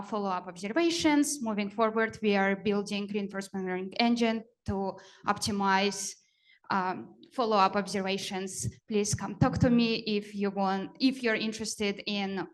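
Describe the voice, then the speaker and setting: Measured woman giving a flash talk. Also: medium pitch. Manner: plain, measured with fillers. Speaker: researcher presenter.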